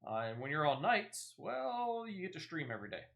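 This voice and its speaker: A man's voice: a little high, sing-song, fairly quick, vocal fry, a bit bright. A man giving casual, rambling, playful gameplay commentary as a video game streamer.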